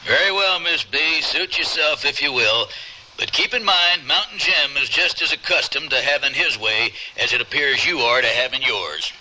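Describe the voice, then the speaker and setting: An actor playing a hearty, protective cattle rancher with plainspoken, folksy, emphatic talk in an old radio drama. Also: male voice, mid-range voice, animated, moderate pace, noisy background, close to an everyday voice. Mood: cautioning.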